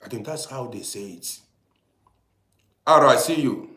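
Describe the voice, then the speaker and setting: A man's voice: mid-range voice, lively intonation, unhurried, bright, forceful tone, roomy sound. A man from Africa, hosting a casual livestream, chatting and greeting viewers by name, repeating himself as he talks. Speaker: livestream host. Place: lives in Europe.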